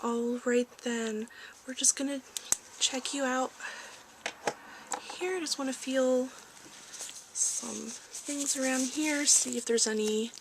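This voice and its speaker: Woman, higher-pitched, gentle ASMR doctor roleplay, reassuring, hesitant, faint background noise. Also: steady tone, slow pace, long pauses. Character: calm corporate research doctor giving a checkup. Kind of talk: soft-spoken ASMR medical roleplay.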